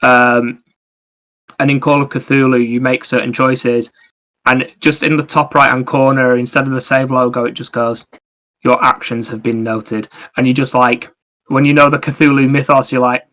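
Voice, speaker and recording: Guy on a gaming podcast, mid voice, kinda flat pitch, rambles through stories. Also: moderate pace, low-quality recording. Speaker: podcast host. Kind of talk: casual chat about video games.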